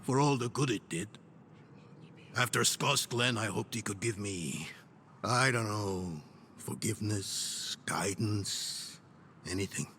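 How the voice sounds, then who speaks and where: An actor playing a weary, sardonic older scholar in a video game, with a gravelly, grumbling delivery. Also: male voice, on the low side, slow, pushed and bright, a little hiss or hum, heavily acted. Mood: bitter, disappointed. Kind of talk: dramatic video game acting. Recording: game audio with music underneath.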